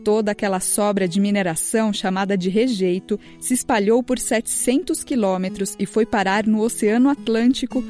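A woman hosting a children's radio program, reading a scripted report in a calm, clear storytelling manner. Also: mid-range voice. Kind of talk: scripted narration.

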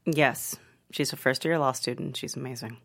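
An actress playing an earnest young woman who speaks softly in a guarded way. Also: a bass voice, normal speed. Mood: subdued. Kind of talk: table read.

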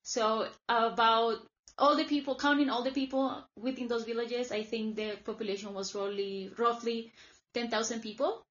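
Guest: Colombian-born woman, 30s, Spanish native, chatty and rambling, higher pitch, muffled lo-fi audio. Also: a bit bright. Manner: chatty, many "like" fillers. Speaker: guest, former volunteer. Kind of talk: casual interview storytelling.

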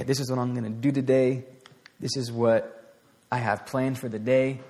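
A man giving an informal, conversational sermon to a small group, with a voice turning raspy and plenty of restarts and fillers. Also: mid-range voice. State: voice getting raspy. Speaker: preacher. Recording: long hall reverb.

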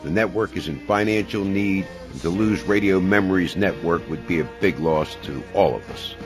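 A man's voice: deep voice, moderate pace. A man hosting a podcast, delivering a spoken fundraising appeal straight to listeners. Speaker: podcast host. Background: music.

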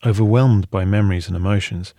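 A man's voice: low voice, fairly even intonation, a little fast, warm tone, no echo, studio quality. A man co-hosting a story podcast, reading scripted documentary narration. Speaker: podcast co-host.

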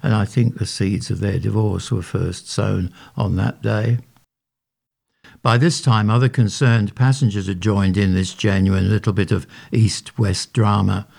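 Wry male author reading his story, low gravelly voice. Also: a little mellow. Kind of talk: read-aloud short story narration.

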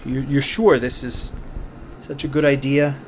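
A man's voice: medium pitch, flat delivery, measured pace, slight background noise, lightly acted. A man playing an earnest, stubborn young rookie radio DJ in an audio horror drama, sometimes hesitant and pleading. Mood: nervous. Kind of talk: dramatic audio horror acting, naturalistic dialogue.

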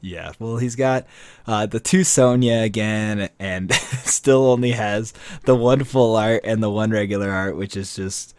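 A man hosting a casual card game podcast, chatty and relaxed, with fillers and restarts. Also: on the low side, unhurried, clear, resonant tone, no echo. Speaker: podcast host.

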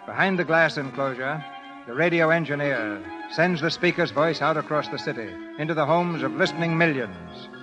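A man's voice: on the high side, moderate pace, lightly acted. A man announcing and narrating a 1930s radio drama in a formal, dramatic manner. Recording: vintage 1930s radio recording, music behind. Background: music.

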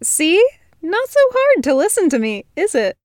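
A woman's voice: sits high, sing-song, unhurried, no echo, voice pushed up. A woman voicing a bold young human girl who teases with smug, dry wit.

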